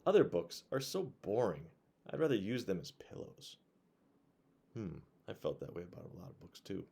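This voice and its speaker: Animated man reading aloud, gravelly edge. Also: low-pitched, moderate pace, a little hiss or hum. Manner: expressive, animated, addresses young listeners with questions. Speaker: children's storybook reader. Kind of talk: read-aloud storytelling to children, with conversational asides.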